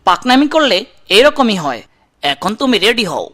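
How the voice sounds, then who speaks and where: A woman's voice: mid-range voice, sing-song, measured pace, background noise. A woman voicing a bossy, teasing young man in an amateur cartoon, colloquial and Bangladeshi-accented. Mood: instructing. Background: loud music.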